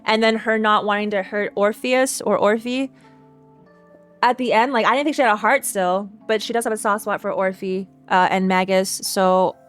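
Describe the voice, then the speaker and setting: A woman's voice: medium pitch, fairly even intonation, moderate pace, soft background music. A woman streamer giving casual reaction commentary, thinking aloud and repeating herself in a chatty way. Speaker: streamer reacting to a game story.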